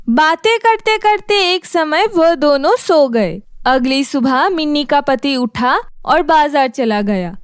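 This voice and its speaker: A woman's voice: sits high, no room sound, studio quality. A woman narrating a scripted children's story as its storyteller.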